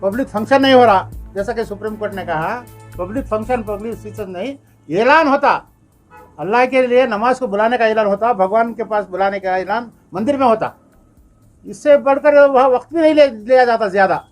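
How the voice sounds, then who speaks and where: A man giving an unscripted interview statement as a community representative, emphatic and repetitive, with halting phrasing. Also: sits high, soft background music.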